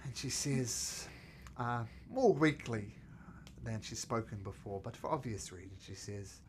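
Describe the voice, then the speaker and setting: A man with a New Zealand accent, narrating a tabletop game as game master in a relaxed, chatty way. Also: medium pitch, unhurried, slight background noise. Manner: relaxed, chatty, laughs at own slips.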